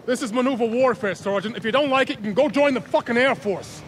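Stern, high-pitched army officer. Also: male voice, fast talker. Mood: commanding. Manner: commanding, blunt, clipped. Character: stern, hard-driving male army officer, gruff and unyielding. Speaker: military officer. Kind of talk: military shooter game acting. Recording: game audio with battlefield vehicle noise.

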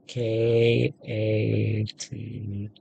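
A man in his thirties talks through a game in a casual, halting way. His voice is creaky and slow, as if thinking aloud while he types. There is faint noise and game ambience behind him. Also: lowish voice, monotone, dark tone, muffled recording. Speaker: gaming video host. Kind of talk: casual let's-play commentary. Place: indoors at a computer.

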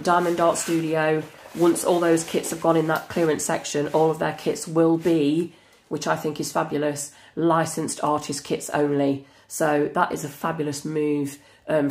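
Woman, hoarse with a cold, low and gravelly, chatty and excitable. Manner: chatty and enthusiastic, frequent fillers. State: croaky from a cold. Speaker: crafting channel host. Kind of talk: casual unboxing chat. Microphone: camera over a tabletop.

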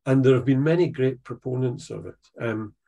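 An older man with a Dundee Scots accent speaks in a gravelly, slightly husky voice. He teaches a writing workshop over a video call, thoughtful and unhurried, pausing and restarting as he searches for words. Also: mid-range voice, warm tone. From Scotland. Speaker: poet leading a writing workshop. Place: attic room at home. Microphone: video call, laptop camera.

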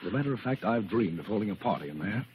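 A man's voice: lowish voice, expressive, racing, a little mellow, slight background noise, close to an everyday voice. An actor playing an easygoing, wry young male bank teller in 1940s radio style. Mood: teasing. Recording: muffled old radio broadcast.

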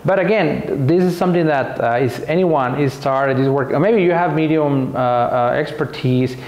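Halting man in an echoey workshop. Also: on the high side, darkish tone. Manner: halting, repeats words, many fillers; gestures with hands while talking. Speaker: woodworking YouTuber. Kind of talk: casual tool recommendation vlog. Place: home workshop, indoors.

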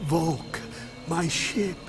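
An actor playing a dying, awestruck cosmonaut captain in a video game, weak and halting, in breathy fragments. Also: male voice, a little high, over music. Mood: faint, awed. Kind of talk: dramatic video game cutscene acting.

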